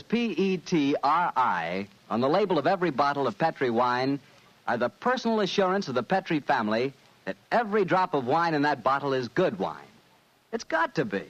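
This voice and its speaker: Lively, high-pitched American radio announcer man. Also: normal speed. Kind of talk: 1940s radio announcing and advertising. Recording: dull vintage radio recording.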